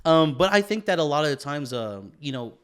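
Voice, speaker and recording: A man's voice: on the high side, very animated, brisk, fairly bright tone, clean tone. A man co-hosting a podcast, chatting casually, swearing and calling people bro and dude. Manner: laid-back, calls people bro and dude, swears often. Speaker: podcast co-host. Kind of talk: casual chat.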